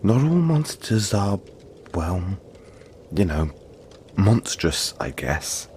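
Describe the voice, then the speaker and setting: A big furry yeti played by a man talks in a deep voice over faint background noise. He is soft-hearted and apologetic, soothing the listener with halting, playful chat in an intimate audio roleplay. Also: sing-song, heavily acted. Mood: gentle, modest. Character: big cuddly male yeti monster, friendly, apologetic, gentle.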